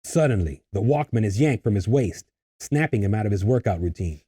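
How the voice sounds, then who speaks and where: A man's voice: fairly low pitch, animated, a little fast, warm tone, husky. A man reading stage directions as scripted narration for a table read.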